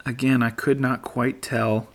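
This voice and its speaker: A middle-aged man giving relaxed play-by-play commentary as a voice-over, easygoing and dry, thinking aloud as he goes. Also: medium pitch, unhurried. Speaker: sports commentator. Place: voice-over, not at the course.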